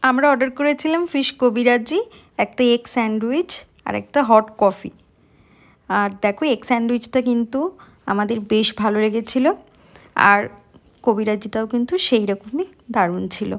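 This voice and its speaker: Young woman vlogger, slightly high voice, chatty phone-camera narration. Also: moderate pace. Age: young adult. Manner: chatty, talks straight to viewers. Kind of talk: casual vlog narration. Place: busy cafe, then a car.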